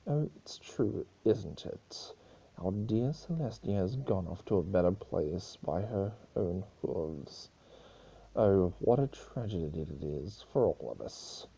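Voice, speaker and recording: A man's voice: low voice, expressive, slow pace, creaky, mellow, husky, full character voice, low-quality recording. A man voicing a mischievous, theatrical spirit of chaos with a mocking, melodramatic delivery, in a story reading. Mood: mock weeping.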